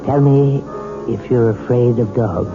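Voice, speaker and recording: An actor playing a calm, authoritative hypnotist doctor, measured and reassuring, in a 1970s radio drama. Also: male voice, medium pitch, over music, close to an everyday voice. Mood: commanding, hypnotic. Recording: tinny, faintly noisy old radio broadcast.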